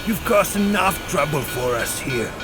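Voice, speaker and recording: A man's voice: a little high, animated, moderate pace, music in the background, lightly acted. An actor playing a stern, fed-up male boss in an audio drama.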